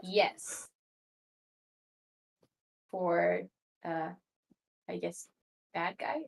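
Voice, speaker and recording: A woman's voice: fairly low pitch, flat delivery, drawn-out words, vocal fry, breathy edge, rough recording. A woman playing a tabletop roleplaying game, chatting casually and a little hesitantly in character. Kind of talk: casual improvised roleplay chat.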